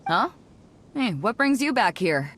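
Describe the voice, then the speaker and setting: A woman's voice: mid-range voice, sing-song, unhurried, a bit bright. An actress playing a confident, easygoing female pirate captain in a video game, with a swaggering, casual and self-assured manner. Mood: firm, warning. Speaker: video game character voice actor. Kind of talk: scripted game dialogue. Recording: clean studio voice over game music. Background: game background music.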